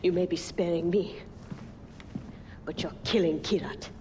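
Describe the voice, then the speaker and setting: A woman's voice: lowish voice, steady tone, unhurried, long reverb tail, noisy background, lightly acted. A woman playing a bitter, taunting rebel leader. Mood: contemptuous. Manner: contemptuous, taunting. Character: bitter defiant female rebel leader, young adult.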